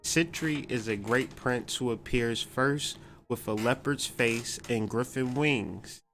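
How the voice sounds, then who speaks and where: A man's voice: mid-range voice, slow, a bit bright, a little hiss or hum. An American man hosting a podcast, talking in a loose, slangy, stop-and-start monologue about spiritual ideas. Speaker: podcast host.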